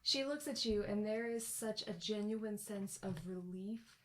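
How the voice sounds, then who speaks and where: A woman's voice: medium pitch, a little slow, slight background noise, rough recording. A young woman improvising third-person narration as a player in a tabletop roleplaying game.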